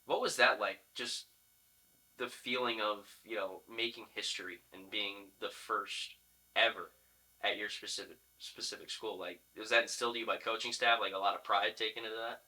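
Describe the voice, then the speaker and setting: A man's voice: lowish voice, fairly even intonation, normal speed, bright, forceful tone, poor audio. An American man hosting a casual sports interview podcast, chatty and informal, often affirming what he hears.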